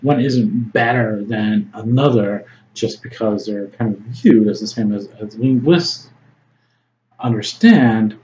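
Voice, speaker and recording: A man's voice: lowish voice, takes it slowly, a little mellow. A man with a Chicago accent, an English tutor giving an informal lesson to camera, often checking in with "right?" and "okay?". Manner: conversational, frequent "right?" and "okay?" check-ins, many ums. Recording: slightly dull lo-fi audio with faint noise.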